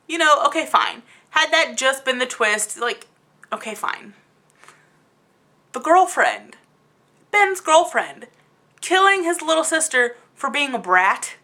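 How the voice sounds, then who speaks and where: Female book vlogger, high and forceful voice, incredulous and emphatic, casual rambling delivery. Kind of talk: casual rambling video review.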